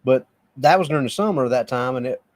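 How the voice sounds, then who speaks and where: Give me a man with an average-pitched voice, co-hosting a podcast, chatting casually and tossing in short, relaxed remarks. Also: quick pace. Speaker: podcast co-host. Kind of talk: casual chat.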